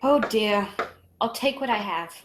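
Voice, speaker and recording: A woman's voice: medium pitch, expressive, a little slow, lightly acted. A woman playing a stubborn, faint and distressed mother in a radio play. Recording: echoey, noisy radio play recording.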